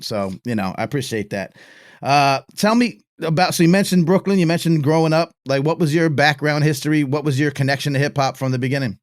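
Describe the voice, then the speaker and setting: A middle-aged man hosting an interview, musing in a relaxed, reflective way. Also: on the high side, brisk, dry recording, studio quality. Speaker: podcast host. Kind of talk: casual interview chat.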